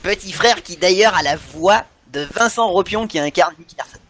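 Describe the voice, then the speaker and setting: A man's voice: high-pitched, moderate pace, fairly bright tone. A man chatting enthusiastically as a podcast co-host about things he loves, often repeating himself. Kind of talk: animated fan discussion of cartoons.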